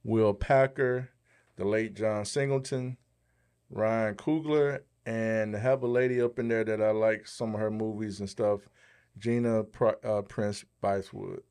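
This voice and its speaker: A man's voice: lowish voice, fairly even intonation, unhurried, darkish tone, clear, resonant tone. A man co-hosting a podcast in a casual, colloquial, motivational way. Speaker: podcast co-host. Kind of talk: casual podcast chat and questions.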